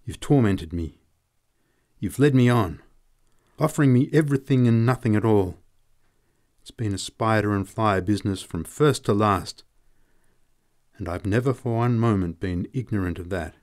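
A man's voice: medium pitch, lively intonation, moderate pace, a little mellow, pitched above the performer's own voice, close to an everyday voice. Lovesick, bitter man, raised and heated, read by a male narrator. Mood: accusing. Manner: pleading and sneering by turns, emotional. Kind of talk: audiobook character reading.

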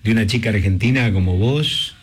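A man with a Rioplatense accent hosting a radio talk show, lively and teasing in conversation. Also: lowish voice, a little fast, husky. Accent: Rioplatense Spanish. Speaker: radio host. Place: radio studio. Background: music bed.

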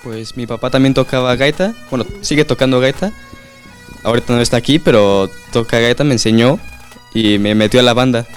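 A man's voice: medium pitch, brisk, faint music behind. A young man in a bagpipe band, speaking plainly and hesitantly. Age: young adult.

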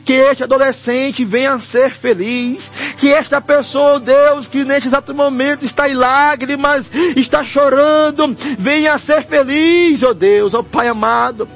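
A Brazilian man prays fervently in an extremely high voice. He pleads like an evangelical elder, returning to the same invocations. Music plays clearly behind him, and the sound is dull and lo-fi. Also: steady tone, measured pace, few pauses, fairly bright tone. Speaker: church elder leading prayer. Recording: dull lo-fi broadcast audio. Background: clear background music.

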